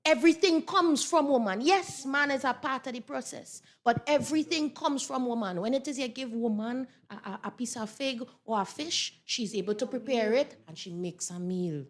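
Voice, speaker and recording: A woman's voice: on the high side, normal speed, few pauses. A woman giving her opinion emphatically in a panel talk.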